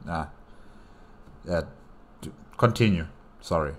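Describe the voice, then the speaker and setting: A man's voice: deep voice, slow pace, slight background noise. A man hosting a solo reaction, commenting casually and informally and often repeating himself. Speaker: reaction video host.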